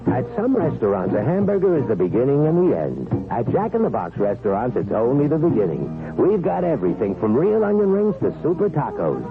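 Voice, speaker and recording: A man's voice: mid-range voice, wildly swooping pitch, brisk, hardly any pauses. A man reading a commercial as an announcer in 1970s radio advertising style. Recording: tinny vintage radio, music behind. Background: music.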